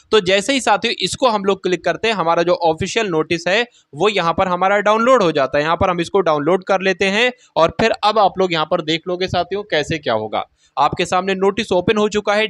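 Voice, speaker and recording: Guy with a high voice going through a notice on screen, keeps talking to viewers as friends, dry mic. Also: a bit bright, dry recording. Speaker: exam news presenter. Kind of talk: casual explanatory screen walkthrough.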